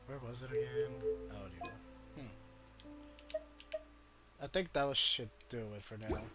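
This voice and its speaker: Slow-talking man gaming over music. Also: mid-range voice. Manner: halting, thinking aloud. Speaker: gamer streaming playthrough. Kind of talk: casual game commentary. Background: game music.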